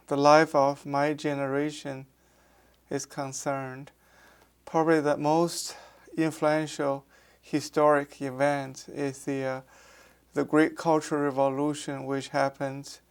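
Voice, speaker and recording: A man's voice: on the high side, smooth. A man in his sixties from Beijing with a Beijing Mandarin accent, a native Mandarin speaker, answering questions in an oral history interview with many hesitations and restarts. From Beijing, China. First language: Mandarin Chinese. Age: 60s. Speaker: architecture professor.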